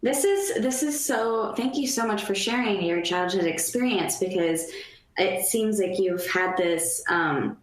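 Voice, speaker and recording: A woman hosting an interview, asking long, winding questions with frequent restarts and warm affirmations. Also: mid-range voice, measured pace, reverberant, poor audio. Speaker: interview host, ballet dancer.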